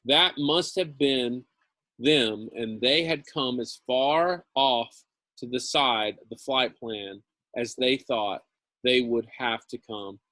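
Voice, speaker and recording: A man's voice: mid-range voice, takes it slowly, sharp tone, no room sound. A man reading a novel aloud like a teacher, with dramatic emphasis and casual, informal asides. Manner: dramatic emphasis, repeats phrases, informal commentary.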